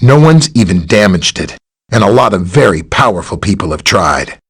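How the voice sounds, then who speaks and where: A friendly, knowledgeable superhero scientist from a video game. His voice sits on the low side with a husky grain. The pitch moves in an animated way, and he talks like an easygoing ally, conversational even when explaining. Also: moderate pace, heavily acted. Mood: explanatory. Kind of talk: video game character dialogue, briefing and greeting.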